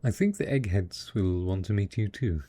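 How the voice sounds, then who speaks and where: A man playing a devoted, tender husband in a post-apocalyptic audio drama, speaking in a gentle, coaxing, husky voice with plenty of endearments. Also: low voice, normal speed. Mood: hopeful, reassuring. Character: devoted, tender, hopeful husband caring for ghoul spouse after apocalypse. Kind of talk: roleplay audio drama, intimate monologue addressed to listener.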